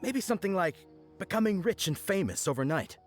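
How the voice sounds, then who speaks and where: A man's voice: high-pitched, lively intonation, a little fast. A man voicing a townsman who daydreams about fame, musing wistfully in game audio with music behind.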